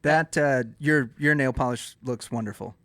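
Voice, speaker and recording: Dallas podcast cohost, deadpan teasing, super dry close sound. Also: mid-range voice, normal speed, no room sound. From Dallas, Texas. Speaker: podcast co-host. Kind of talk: casual podcast chat.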